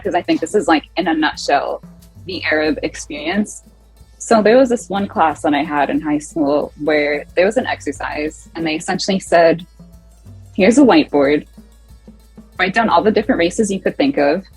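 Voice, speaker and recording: A woman's voice: lowish voice, husky, poor audio. A young woman telling a personal story as a panelist, with heavy use of "like.". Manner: chatty, filler-heavy storytelling with "like". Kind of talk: online panel discussion.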